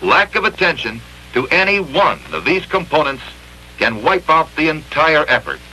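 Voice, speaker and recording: A man narrating a 1960s industrial training film in an emphatic, clearly enunciated instructional manner, from an old film soundtrack. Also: on the high side, expressive, normal speed, pushed and bright, slight background noise, poor audio.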